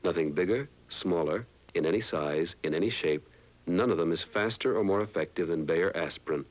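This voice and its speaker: Very deep-voiced man reading a commercial. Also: moderate pace, low-quality recording. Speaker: commercial announcer. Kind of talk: scripted 1960s TV ad narration.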